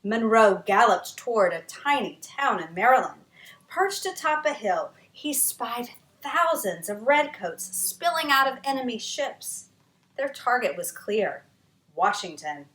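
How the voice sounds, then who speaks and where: A woman reads a children's history story aloud with dramatic pauses and lively emphasis. Her voice is bright and a touch higher than most. The room around her rings with echo. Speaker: author reading her book aloud. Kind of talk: expressive storytime read-aloud.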